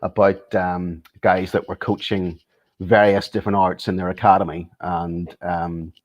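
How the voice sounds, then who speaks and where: Low-voiced man, thoughtful and halting. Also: little pitch movement, muffled recording. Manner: thoughtful and hesitant, many ums and restarts. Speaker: guest, martial arts instructor. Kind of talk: casual interview conversation.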